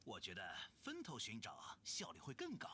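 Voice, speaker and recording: Eager game treasure hunter, swooping intonation, brisk, businesslike, profit-minded. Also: mid-range voice, huge pitch swings, bright, forceful tone, a little hiss or hum. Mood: eager, scheming. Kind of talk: video game voice acting.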